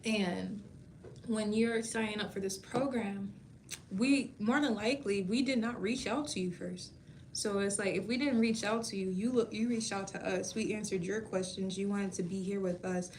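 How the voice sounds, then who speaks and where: A woman in her thirties talking casually to her livestream viewers, playful and chatty, calling them baby and laughing often. Her voice sits at an ordinary middle pitch. A faint hum of noise runs underneath. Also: moderate pace. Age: 30s. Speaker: beauty school staff and livestream host.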